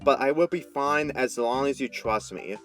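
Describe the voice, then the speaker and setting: A man's voice: medium pitch, normal speed, a bit bright, faint music behind, natural voice. A man voicing a friendly, earnest male pet dog who makes videos, in a casual, eager way, for a story reading. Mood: reassuring.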